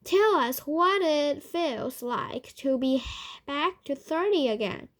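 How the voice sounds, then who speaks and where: A woman voicing an excited old grandfather in a storybook, exclaiming loudly and urgently. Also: high-pitched, slow pace, pitched above the performer's own voice. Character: excited, loud old grandfather, amazed and shouting. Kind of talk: children's storybook read aloud.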